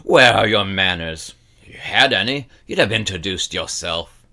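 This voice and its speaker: A man voicing a gruff, grumbling older shop owner who dislikes children, in a storybook reading. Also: low-pitched, lively intonation, moderate pace, bright, forceful tone, exaggerated voice. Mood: scornful, irritated. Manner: growling, grumbling, brusque and scornful. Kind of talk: audiobook character acting.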